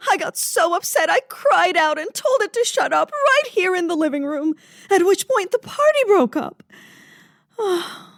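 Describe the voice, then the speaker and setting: A rich dramatic widow in a radio noir, high voice, swoopy and swoony, pretty dry sound. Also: female voice, no echo, exaggerated voice. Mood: distraught. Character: wealthy melodramatic widow, sultry and self-pitying. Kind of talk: parody of old-time radio detective drama.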